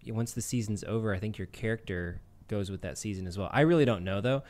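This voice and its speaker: A man's voice: lowish voice, fast talker, darkish tone. A man hosting a podcast, chatting casually about games in an informal, joking way. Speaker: podcast host. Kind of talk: casual gaming chat.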